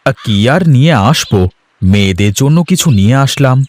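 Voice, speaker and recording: A man's voice: medium pitch, lively intonation, a little fast, natural voice. A man voicing an agreeable middle-aged village father in a cartoon, with a genial, deferential manner. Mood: affectionate. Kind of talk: animated moral-story cartoon dialogue.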